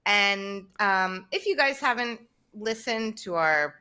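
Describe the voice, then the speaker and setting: Woman in her thirties, podcast host on a video call, slow and bright teaching voice. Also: mid-range voice, expressive. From Tennessee, United States. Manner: slow, clear explaining. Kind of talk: casual teaching chat. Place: home room, indoors. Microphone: video call, webcam.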